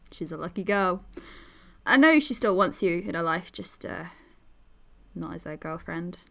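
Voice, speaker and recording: A woman's voice: fairly low pitch, expressive, unhurried, vocal fry. An actress playing a supportive, chatty young woman in a naturalistic audio drama, speaking informally and reassuringly. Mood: casual, sympathetic. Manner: informal, reassuring, hesitant phrasing.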